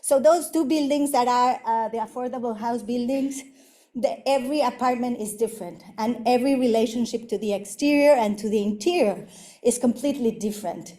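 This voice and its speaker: Middle-aged Mexican woman architect, lecture delivery; mellow tone, halting with frequent fillers. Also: a little high. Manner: halting, many fillers and restarts. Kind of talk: university lecture presenting projects.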